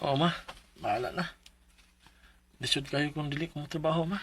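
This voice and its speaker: Guy acting young cebuano delivery rider, high voice, very polite and humble, radio drama. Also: fairly even intonation, normal speed, a bit bright, a little hiss or hum, natural voice. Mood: weary, resolved. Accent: Cebuano Filipino. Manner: deferential, pleading.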